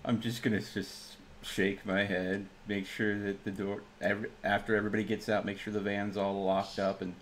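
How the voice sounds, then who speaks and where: A man's voice: lowish voice, level tone, moderate pace, hardly any pauses. A man playing a tabletop role-playing game, speaking casually as he describes what his character does and says. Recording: clear background noise, keyboard typing.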